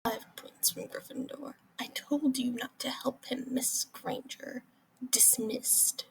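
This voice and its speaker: Woman playing a creaky-voiced, sneering male teacher. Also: high-pitched, fairly even intonation, whispered, full character voice, rough recording. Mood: cold. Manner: disdainful, deliberate. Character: cold sneering male potions teacher, spiteful. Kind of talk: fan audio drama acting.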